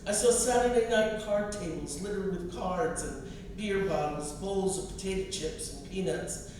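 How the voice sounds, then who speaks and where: A middle-aged American woman from the East Coast, a poet reading her own literary work in a measured, expressive way with deliberate phrasing. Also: on the low side. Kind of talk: literary reading of poetry and personal essay. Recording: distant mic, echoey room, clear noise.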